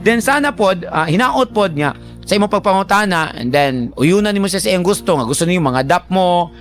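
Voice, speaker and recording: A high-pitched male radio host with a Cebuano Bisaya accent gives casual advice over background music. He is colloquial and teasing, addressing the listener directly in an animated manner. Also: a little fast. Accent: Filipino, Cebuano Bisaya. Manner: animated, colloquial, teasing, acts out imagined remarks. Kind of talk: casual conversational advice to a letter sender.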